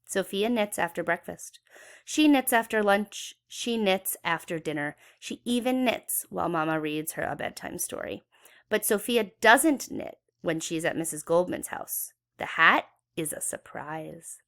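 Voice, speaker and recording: Animated woman reading a children's storybook. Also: fairly low pitch, a little slow. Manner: animated, dramatic emphasis for young children. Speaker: children's book read-aloud educator. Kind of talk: expressive storybook read-aloud.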